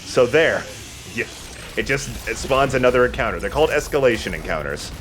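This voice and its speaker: A man's voice: medium pitch, lively intonation, a little fast, a bit bright, slight background noise. A man giving casual commentary over a video game as he plays, joking, swearing and thinking aloud. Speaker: video game let's player. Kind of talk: casual gameplay commentary with friends.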